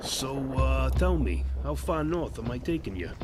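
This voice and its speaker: A man's voice: mid-range voice, sing-song, measured pace, background noise, pitched above the performer's own voice. A man voicing a shifty, nervous killer who poses as a chummy bus driver, hesitant and folksy in an audio horror drama. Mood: feigned friendliness. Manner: hesitant, folksy, filler-laden, nervous chuckles. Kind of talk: audio horror fiction character voice.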